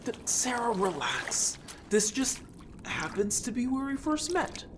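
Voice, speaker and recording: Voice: mid-range voice, sing-song, measured pace, fairly bright tone, slight background noise. An actor playing an upbeat, bold young merfolk scout in an audio story, chatty, casual and playfully defensive. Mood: playful, exasperated. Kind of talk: audio story character acting.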